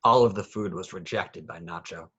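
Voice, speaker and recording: A man's voice: low voice, normal speed, pushed and bright, slightly rough. A man reading a children's picture book aloud with rhythmic, sing-song phrasing. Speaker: children's story reader.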